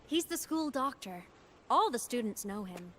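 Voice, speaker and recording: A woman voicing a young ghost girl in a video game, a former student who speaks gently, with a wistful and teasing manner. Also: a little high, very animated, moderate pace, a little hiss or hum. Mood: playful, confiding.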